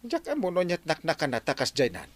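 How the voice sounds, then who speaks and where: Fed-up village captain scolding, ilocano radio comedy, super animated pitch, dry sound. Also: male voice, fast talker, dry recording. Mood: exasperated. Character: exasperated village captain scolding his constituents.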